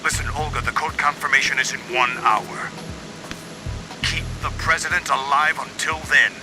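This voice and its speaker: An actor playing a cool, shrewd male villain leading a plot in a video game, speaking in measured, authoritative, terse lines. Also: mid-range voice, steady tone, over music, natural voice, poor audio. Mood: businesslike. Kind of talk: dramatic video game cutscene acting.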